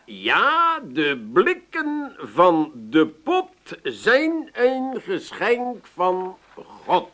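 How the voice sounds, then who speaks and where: A self-important amateur slogan poet in a comic radio play. His slightly high voice swings widely, drawing words out slowly and grandly, then dropping into muttered second thoughts. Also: wildly swooping pitch, bright, forceful tone, full character voice. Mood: brusque, self-important. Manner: theatrical declaiming broken by muttered self-corrections. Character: busy amateur jingle writer, grandly declaiming slogans, brusque. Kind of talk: 1980s comic radio drama acting.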